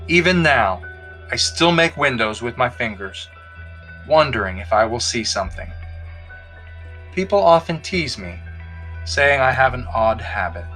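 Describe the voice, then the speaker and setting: A man narrating a folktale from a script in a measured, expressive storytelling manner, as a podcast reader. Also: medium pitch, faint music behind.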